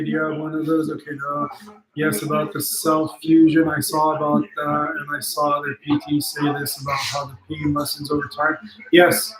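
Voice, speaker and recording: A man live-streaming in casual chat, reading viewer comments aloud and giving encouraging, motivational replies with plenty of ums. His voice is wearing out from long talking, and he is indoors at a friend's home. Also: a little high. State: voice getting tired from long talking. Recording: echoey room.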